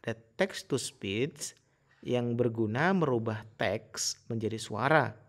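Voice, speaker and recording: Man with lively, varied pitch. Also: slow. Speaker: tutorial presenter. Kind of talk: casual step-by-step screen tutorial.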